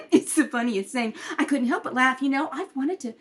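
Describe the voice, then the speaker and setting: A woman's voice: high-pitched, animated, normal speed. A woman playing a naive, giggly, rambling young society girl in a 1930s radio comedy. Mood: amused, giggly. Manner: artless, rambling.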